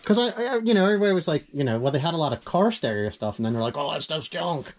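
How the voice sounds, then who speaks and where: A man's voice: on the high side, animated, fast talker. A man in casual, plainspoken conversation as a vlog host, sounding amazed. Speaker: vlog host visiting a radio collector. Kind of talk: casual chat. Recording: clear ambient and vehicle noise.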